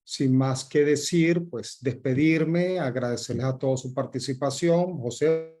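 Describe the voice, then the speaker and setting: A man's voice: mid-range voice, unhurried. A man moderating a webinar question session, courteous and full of fillers like "pues" and "este".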